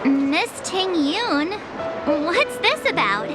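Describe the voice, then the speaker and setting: A woman's voice: very high voice, big pitch swings, extremely slow, full character voice. A woman playing a perky, frank, girlish young adventurer in a video game, talking casually and expressively. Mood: curious. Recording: loud background music.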